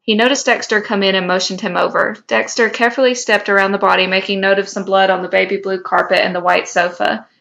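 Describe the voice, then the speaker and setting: A woman's voice: mid-range voice, steady tone, fast talker, vocal fry, hardly any pauses, a bit bright. A woman co-hosting a podcast, reading a story aloud and now and then stumbling over a word. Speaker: podcast co-host. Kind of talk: reading fan fiction aloud.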